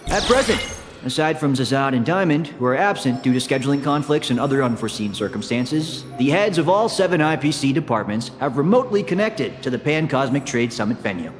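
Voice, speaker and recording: A polite male corporate assistant, played by an actor speaks with formal, measured courtesy in a mid-pitched voice. It is a video game host role, with long hall reverb and music underneath. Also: big pitch swings, lightly acted. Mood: formal announcing.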